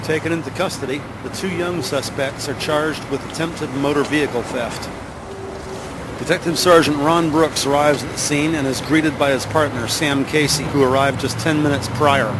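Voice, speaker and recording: A man's voice: fairly high pitch, moderate pace. Male drama narrator over noisy background. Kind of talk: scripted audio drama narration.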